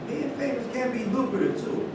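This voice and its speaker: A man in a staged reading, playing a brash, dismissive former football star who talks in colloquial phrases. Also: normal speed. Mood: boastful. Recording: echoey room, distant mic, noisy rumble.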